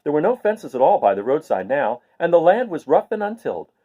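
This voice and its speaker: A man reading a children's story aloud in expressive scripted narration. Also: a little high, fairly quick. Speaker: storybook reader.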